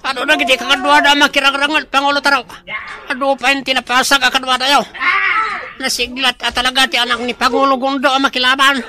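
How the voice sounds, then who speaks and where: A very high-voiced, anxious henchman. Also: completely flat delivery, fast talker, crowd noise. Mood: alarmed. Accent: Filipino. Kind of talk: radio drama acting.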